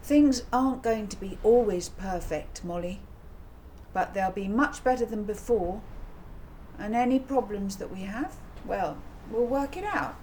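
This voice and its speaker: A woman's voice: mid-range voice, lively intonation, unhurried, a little mellow, voice pushed up, lightly acted, rough recording. An easygoing storybook boy, played by a woman reader. He talks in an upbeat, matter-of-fact way with a slightly raised voice. Some noise is audible behind him. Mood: reassuring. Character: easygoing, reassuring young boy.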